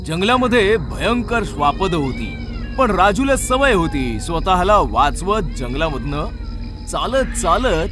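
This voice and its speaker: A man narrating a scripted horror story as a storyteller. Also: high voice. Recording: music behind the voice.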